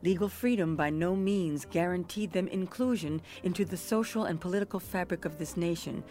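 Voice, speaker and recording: A woman giving scripted historical narration as narrator, measured and formal. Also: on the low side, warm tone, soft background music.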